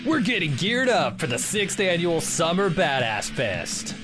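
A man with a higher-than-average, very lively voice reads a hyped event promo as a podcast host. Guitar music is plainly audible behind him. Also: unhurried. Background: plucked guitar music.

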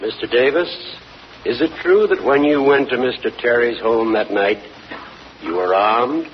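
A man's voice: medium pitch, a little slow, natural voice. A man playing a pushy prosecutor. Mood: pressing. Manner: clipped, pressing questions. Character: sharp prosecuting attorney. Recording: muffled old radio, faint hiss.

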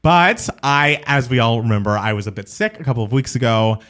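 A man chatting casually as a co-host, animated and quick to laugh. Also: mid-range voice. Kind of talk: casual chat.

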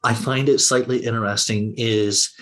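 Man, average pitch, casual podcast chat, halting, loosely phrased. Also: steady tone, fairly bright tone, low-quality recording. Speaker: podcast panelist.

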